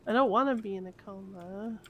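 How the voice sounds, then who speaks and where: A woman's voice: medium pitch, brisk, muffled recording, a loud crowd. A woman chatting casually in character in an online roleplay game, playful, repeating and stumbling over her words. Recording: clear background noise.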